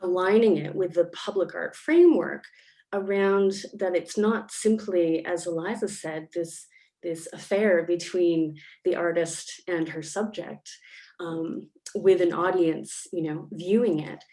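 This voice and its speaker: A woman's voice: on the low side, lively intonation, measured pace, dark tone. A Canadian woman, a panelist and arts researcher, speaking thoughtfully over a video call with many fillers. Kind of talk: panel discussion remarks. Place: home or office in Melbourne.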